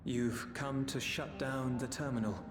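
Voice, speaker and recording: An actor playing a solemn male sage in a video game, speaking in a grave, measured, formal manner. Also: medium pitch, fairly even intonation, close to an everyday voice. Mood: solemn, resigned. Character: solemn, sage male keeper of old knowledge. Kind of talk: dramatic game cutscene acting. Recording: echoey hall reverb, game music.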